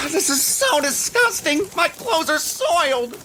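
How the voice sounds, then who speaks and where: Woman doing a fussy pompous rich guy, sharp whiny voice, swings all over, audio drama. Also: high voice, sing-song, takes it slowly, a little hiss or hum, exaggerated voice, rough recording. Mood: panicked disgust. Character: fussy, spoiled, controlling rich man, easily disgusted.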